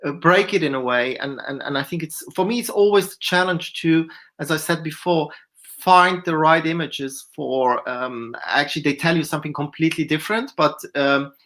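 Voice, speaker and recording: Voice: medium pitch, moderate pace, a bit bright. A filmmaker guest answering festival questions, searching for words and rambling through restarts.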